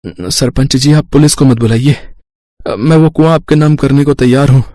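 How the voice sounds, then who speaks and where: A man's voice: mid-range voice, normal speed, warm tone, dry recording, studio quality, natural voice. Guy doing a poor earnest village son in a story cartoon, mid voice, pleading but stubborn. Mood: shocked, pleading. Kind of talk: Hindi moral story cartoon dubbing.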